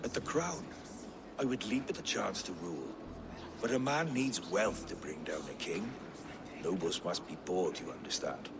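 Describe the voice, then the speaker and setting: A man's voice: deep voice, animated, normal speed. A man playing an ambitious, resentful captive prince in a video game, scheming and formal. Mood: bitter, scheming. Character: ambitious, resentful captive royal brother, male. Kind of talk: video game cutscene acting. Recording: clearly noisy ambient background.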